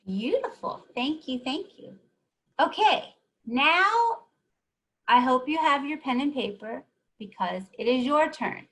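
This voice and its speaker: A woman's voice: high voice, animated, takes it slowly, a bit bright. Encouraging woman leading a workshop. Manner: encouraging, keeps asking "right?". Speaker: workshop presenter. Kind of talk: teaching a live online workshop.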